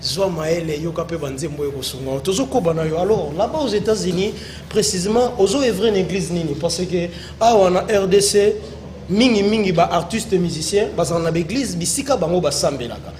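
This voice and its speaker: A man hosting a television interview, animated, switching between French and Lingala. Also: high voice, a little fast, slight background noise. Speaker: TV host.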